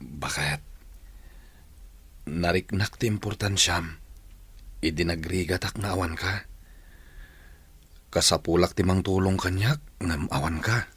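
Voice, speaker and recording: A man with an Ilocano accent acting in a radio drama as a gruff, blunt, baffled husband. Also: low-pitched, unhurried, pauses often, a bit bright, no echo, full character voice. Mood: aggrieved.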